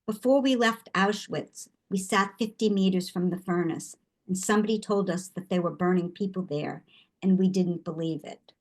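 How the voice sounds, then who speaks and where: Older woman, low-voiced, thoughtful. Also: moderate pace. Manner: thoughtful, with frequent restarts. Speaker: guest artist. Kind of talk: interview answers.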